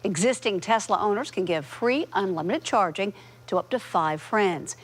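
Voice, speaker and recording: A woman's voice: mid-range voice, expressive, normal speed, slightly rough. An American woman reading scripted news as an anchor.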